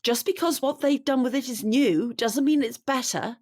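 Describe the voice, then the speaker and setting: A woman's voice: high voice, moderate pace. A woman playing a stubborn, grieving older widow in an audio drama, speaking formally and precisely with insistent dignity. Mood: determined.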